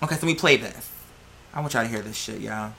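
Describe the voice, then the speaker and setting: Female reaction vlogger. Bright, punchy voice, blunt and exasperated, casual delivery. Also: rumbling low, quick pace, creaky. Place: indoors at a computer. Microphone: plug-in computer microphone.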